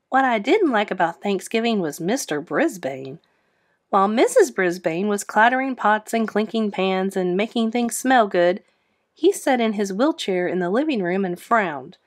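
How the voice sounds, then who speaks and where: Lively woman reading a children's story. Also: medium pitch. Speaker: read-aloud narrator. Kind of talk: expressive children's storybook narration.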